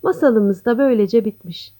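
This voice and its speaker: A woman storyteller reading a scripted children's bedtime tale in a gentle, expressive manner. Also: fairly high pitch, moderate pace.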